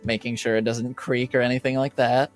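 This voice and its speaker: A man narrating a tabletop game as game master, improvising hesitantly with many pauses. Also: medium pitch, little pitch movement, faint music behind.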